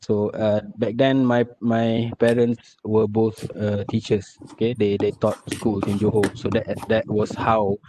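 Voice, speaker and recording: A Malaysian man giving a measured online presentation from slides, checking in with his audience often. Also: fairly low pitch, little pitch movement, background noise. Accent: Malaysian English. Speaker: lecturer. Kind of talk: virtual presentation.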